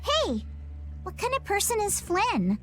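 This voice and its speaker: A woman's voice: extremely high pitch, huge pitch swings, a little slow, exaggerated voice. A woman voicing a quirky, confident young girl, playful and scheming, in a video game. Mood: curious. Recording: music under the voice.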